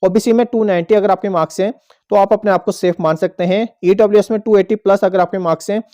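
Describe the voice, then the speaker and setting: A man's voice: high voice, steady tone, fast, warm tone. A man presenting an informational explainer to viewers, going step by step and repeating points as he goes. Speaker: host of an exam update channel.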